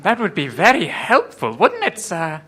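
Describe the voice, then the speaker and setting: A woman's voice: on the low side, wildly swooping pitch, normal speed, fairly bright tone, slightly rough, exaggerated voice. A woman playing a naive, eager assistant in an improvised comedy radio play, wide-eyed and deferential. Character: naive, eager female assistant to an airport boss.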